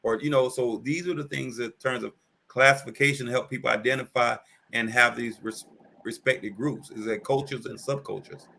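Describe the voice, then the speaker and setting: A man hosting a talk show, answering his guest in halting, casual discussion. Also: medium pitch, fairly even intonation, vocal fry, sharp tone. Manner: halting, restarts then lays out ideas.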